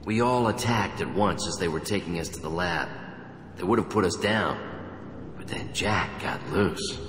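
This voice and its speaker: Obsessed, damaged male survivor, deep voice, brooding, fervent, video game cutscene, long echo. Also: a little slow. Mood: haunted. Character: haunted, obsessive male survivor of childhood experiments. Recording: echoey hall, faint background noise.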